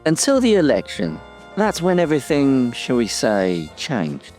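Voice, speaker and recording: An actor playing a modest, genteel small storybook character, speaking tactfully in a mock interview. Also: male voice, mid-range voice, huge pitch swings, unhurried, darkish tone, soft background music. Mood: wistful, measured. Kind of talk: mockumentary interview in audio drama.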